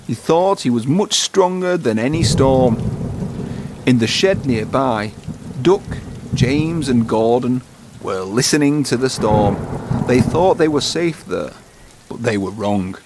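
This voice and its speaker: A man's voice: medium pitch, expressive, slow, noisy background. A man narrating a children's storybook, reading aloud.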